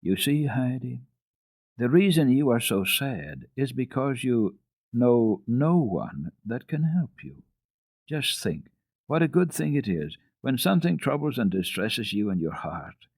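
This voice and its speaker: Man as a gentle, husky old grandmother. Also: mid-range voice, big pitch swings, measured pace, dry recording, clean studio sound, voice pushed up, exaggerated voice. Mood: reassuring. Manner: gentle, patient, coaxing. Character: kind, pious, gently probing old grandmother.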